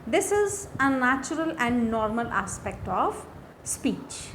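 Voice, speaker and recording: Female lecturer, Indian accent, fairly high voice, very unhurried lesson narration in short phrases. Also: sing-song. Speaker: English lecturer. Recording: faint background noise.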